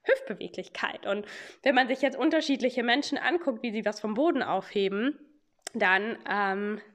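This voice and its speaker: A young woman who hosts a podcast and teaches yoga, talking in a relaxed way with a slight cold and often asking "ja?" as she explains. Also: a little high. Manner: chatty, many fillers, explains with rhetorical "ja?". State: has a cold.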